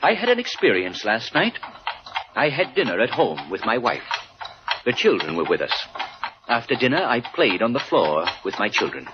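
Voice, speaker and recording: An actor playing a proud, wealthy colonel with a formal, curt manner, in 1950s radio drama style. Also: male voice, medium pitch, wildly swooping pitch, moderate pace. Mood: earnest. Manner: formal, curt, stiffly dignified. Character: proud wealthy male colonel, family man, coldly indignant. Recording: muffled old radio broadcast, loud noise.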